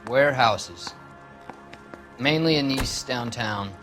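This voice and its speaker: An actor playing a cornered criminal informant in a video game, pleading and bargaining insistently. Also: male voice, medium pitch, takes it slowly, over music, close to an everyday voice. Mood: anxious bargaining. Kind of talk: scripted video game dialogue.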